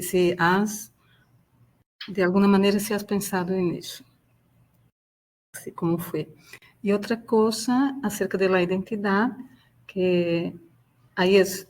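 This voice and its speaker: A woman's voice: mid-range voice, steady tone, slow pace, long pauses, darkish tone. A woman from Brazil, a Portuguese speaker talking in Spanish, moderating a webinar with friendly, rambling questions and frequent tag questions. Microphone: video call.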